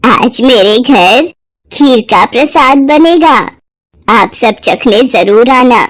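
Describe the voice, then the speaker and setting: A woman voicing a kind old village woman in a cartoon devotional story, motherly, coaxing and unhurried. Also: a little high, animated. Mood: warm inviting.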